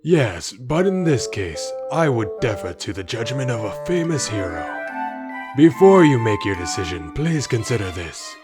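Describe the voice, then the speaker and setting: A man's voice: medium pitch, sing-song, normal speed, close to an everyday voice. A man voicing a courteous, earnest village leader in a comic dub, formal and deferential. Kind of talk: comic dub character acting. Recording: music behind the voice.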